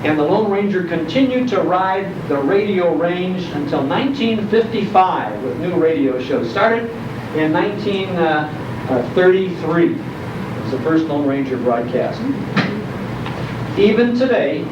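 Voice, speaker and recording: An older man with an American accent gives a live nostalgic talk to an audience, speaking as a theatrical storyteller with deliberate emphasis. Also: high voice, lively intonation. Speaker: guest speaker, radio nostalgia host. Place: indoor program venue before an audience. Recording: echoey, noisy, muffled live hall recording, distant microphone.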